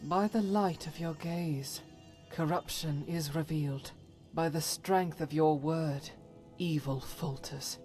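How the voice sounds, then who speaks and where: An actress playing a devout, troubled warrior woman in a fantasy game, solemn and earnest with halting delivery. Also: low voice, warm tone, soft background music. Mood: stern, fervent. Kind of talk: dramatic fantasy game voice acting.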